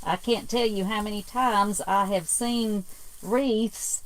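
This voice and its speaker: A woman co-hosting a casual livestream craft demo, walking viewers through steps in a relaxed, agreeable way. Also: mid-range voice, slow, low-quality recording. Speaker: co-host, home decor designer, mother.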